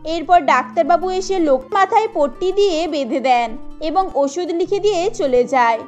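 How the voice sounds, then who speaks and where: A woman narrating a cartoon story in a steady storytelling manner. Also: very high voice, normal speed, soft background music.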